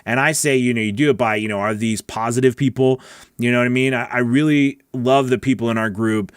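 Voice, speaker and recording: A middle-aged man hosts a casual question-and-answer stream in a conversational, direct way. His voice sits at an average male pitch. It is captured close on a broadcast mic in a small home studio, with very little room sound. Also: steady tone, a little fast, clean tone, dry recording. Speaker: host, voice-over coach. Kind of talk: casual livestream Q&A chat. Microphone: close broadcast mic on boom arm.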